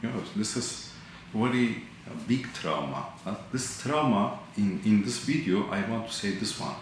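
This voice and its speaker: A man from Turkey, an artist, talking about his work in halting, broken English with many pauses. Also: on the low side, lively intonation, slight background noise. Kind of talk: interview about his artwork. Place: large gallery hall indoors. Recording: echoey gallery hall.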